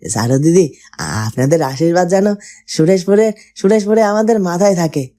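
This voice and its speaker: Humble cartoon villager, young man voiced by a woman. Very soft mellow tone, lowish pitch, stammering and respectful. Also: animated, lightly acted. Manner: halting, stammering, deferential. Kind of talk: Bengali fairytale cartoon dubbing.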